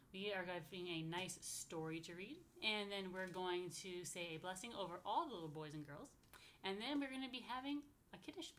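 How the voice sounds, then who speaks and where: American woman with lively, animated pitch. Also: a little hiss or hum. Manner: expressive, asks listeners playful questions. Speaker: rabbi, story time host for young children. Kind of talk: children's picture book read-aloud with chatty asides.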